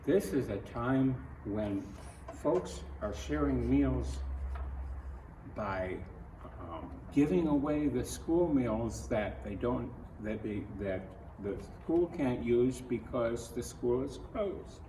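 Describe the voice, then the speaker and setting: Slow, gentle American man in echoey room. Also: mid-range voice, animated. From Ohio, United States. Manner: gentle, earnest, halting with pauses between phrases. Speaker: picture-book reader. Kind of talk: read-aloud to children with improvised commentary. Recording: roomy echo, distant mic, faint noise.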